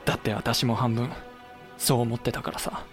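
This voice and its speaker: A man's voice: mid-range voice, flat delivery, moderate pace, over music, lightly acted. A male actor playing a frank samurai vice-president who also narrates a historical war audio drama. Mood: wry.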